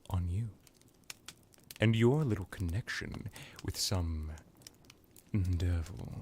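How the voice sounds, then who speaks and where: A man voicing an ancient, smug vampire crime lord in an ASMR roleplay, drawling with theatrical pauses and sarcastic condescension. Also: sits low, darkish tone, a little hiss or hum, heavily acted. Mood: mocking, sarcastic.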